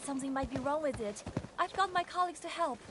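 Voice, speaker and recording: A woman's voice: high-pitched, quick pace, close to an everyday voice. An actress playing an apologetic, worried young female technician in a video game. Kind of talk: scripted video game dialogue. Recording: clear background ambient noise.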